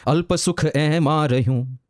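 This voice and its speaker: Emphatic monk preaching. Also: male voice, fairly high pitch, darkish tone, dry recording, clean studio sound. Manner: chants verses slowly, then explains with rising emphatic repetition. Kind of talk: devotional sermon.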